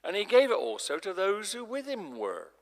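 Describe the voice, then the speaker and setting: A man playing an authoritative male religious teacher in a live dramatic scripture reading, declaiming in measured, emphatic phrases. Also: sits high, huge pitch swings, heavily acted. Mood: challenging. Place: large church, live performance. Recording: echoey church, long reverb.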